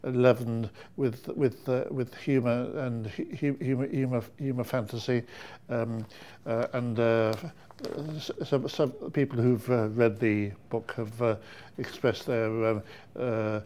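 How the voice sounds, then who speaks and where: A man speaking very slowly in a flat, even tone. His delivery is halting and full of stumbles as he gives an author's informal testimonial. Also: mid-range voice. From Nottingham, England. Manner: halting, heavily hesitant, stammering over words. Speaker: seminar attendee, author.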